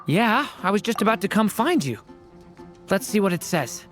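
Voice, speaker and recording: A woman voicing a gentle, earnest young dragon boy in human form in a video game, soft and thoughtful. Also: mid-range voice, big pitch swings, normal speed, faint music behind. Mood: calm, thoughtful.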